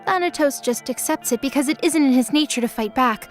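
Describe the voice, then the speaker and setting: A woman's voice: high-pitched, a little fast, soft background music. A woman reading scripted, dramatic third-person narration for a mythology audio drama.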